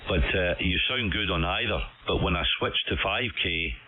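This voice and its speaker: Deep-voiced man on hissy, muffled radio. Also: moderate pace. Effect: single-sideband radio transmission. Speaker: amateur radio operator. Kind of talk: casual ham radio chat. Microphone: studio condenser microphone. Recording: muffled shortwave radio link, faint hiss.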